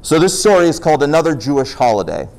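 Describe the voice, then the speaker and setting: A man presenting folk tales in an animated, explanatory storytelling style. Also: on the high side, quick pace. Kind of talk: storytelling talk with acted dialogue.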